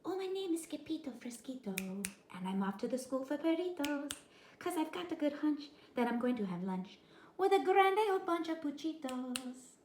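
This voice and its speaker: A woman voicing an excitable young boy cat, in a high, bouncy, singsong way, in an echoey kitchen. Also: moderate pace, a little hiss or hum, heavily acted. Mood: singing softly. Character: excitable young boy cat who thinks he's a chihuahua.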